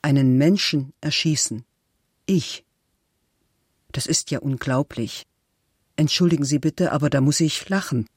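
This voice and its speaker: Slow, husky woman denying accusations. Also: sits low, darkish tone, dry recording, studio quality. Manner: indignant, emphatic denial. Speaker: accused suspect under interrogation. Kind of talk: interrogation testimony.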